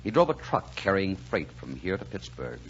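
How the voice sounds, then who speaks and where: An actor playing a polite, earnest, mysterious club waiter in a 1940s radio drama, speaking deliberately. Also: male voice, sits low, wildly swooping pitch, soft background music, exaggerated voice. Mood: earnest storytelling. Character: mysterious, courteous male club waiter, quietly devout. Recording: muffled, noisy 1940s radio recording.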